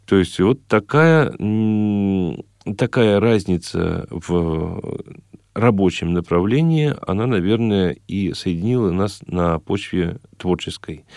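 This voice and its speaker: A man's voice: low-pitched, a little mellow, no room sound, clean studio sound. A man in his thirties talking conversationally as a radio interview guest, explaining things with rhetorical asides. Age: 30s. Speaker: radio guest, event organizer and singer. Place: radio studio.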